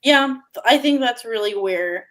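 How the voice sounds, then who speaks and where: A woman speaking in a measured, reflective way as a panelist in a casual book discussion. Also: on the high side, expressive, fairly bright tone.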